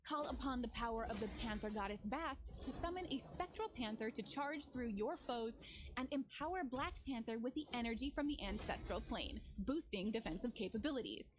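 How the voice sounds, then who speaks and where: A woman's voice: a little high, animated, brisk, noisy background. A woman giving scripted promotional narration as a game developer presenting new features. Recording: music under the voice. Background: music.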